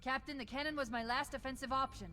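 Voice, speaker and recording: An actress playing a cool, witty, loyal shipboard AI, composed and precise, in a video game cutscene. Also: female voice, sits high, fairly even intonation, fast, bright, forceful tone. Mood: serious. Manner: composed, precise, dryly teasing.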